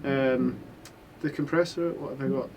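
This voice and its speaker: A man's voice: medium pitch, fairly even intonation, moderate pace, creaky, a crowd in the background. A man chatting casually about mixing a recording session, rambling with many restarts and fillers. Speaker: mixing engineer. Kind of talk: casual chat.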